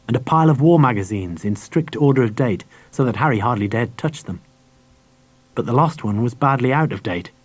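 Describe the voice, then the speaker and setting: A man's voice: mid-range voice, a little mellow, husky, dry recording. A man reading a children's story aloud as a scripted audiobook narration. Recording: dry cassette audiobook transfer.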